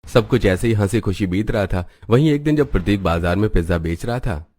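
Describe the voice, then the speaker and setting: A man's voice: lowish voice, animated, brisk, darkish tone, no room sound. A man reading scripted storytelling narration for a moral tale.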